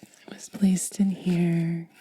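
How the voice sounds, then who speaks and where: A woman whispering softly and gently in an unhurried ASMR style, close to the microphone, pausing between short phrases. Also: on the low side, flat delivery. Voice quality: breathy, mostly unvoiced whisper. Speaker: ASMR host. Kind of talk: ASMR unboxing, hushed and soft-spoken.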